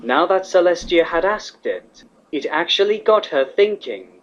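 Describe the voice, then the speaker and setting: A woman reading fan fiction as the narrator, in an expressive scripted storytelling style. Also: on the low side, a little slow. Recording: slightly dull, muffled audio.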